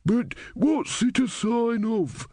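Man as an elderly know-it-all, male, boring droning voice, slow, dry sound. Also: very high voice, expressive, warm tone, dry recording, voice pushed up, exaggerated voice. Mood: pedantic. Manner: boring, unstoppable drone.

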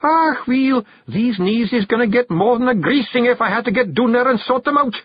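A man voicing a bumbling, bossy bearded Scottish stranger in a storybook, halting, growly and correcting himself. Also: high-pitched, sing-song, breathy edge, dry recording, lo-fi sound, voice pushed up, full character voice. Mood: grumbling. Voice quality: growly, snarling.

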